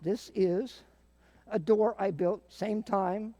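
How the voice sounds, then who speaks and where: A man's voice: high-pitched, steady tone, slow pace, mellow. An older man casually explaining and demonstrating things as a guest. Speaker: guest, theater sound-effects practitioner.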